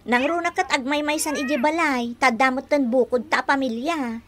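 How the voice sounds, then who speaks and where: A woman's voice: high-pitched, moderate pace, a little hiss or hum, lightly acted. A woman playing a sensible, patient elder daughter who coaxes and placates in a radio comedy-drama. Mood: explaining.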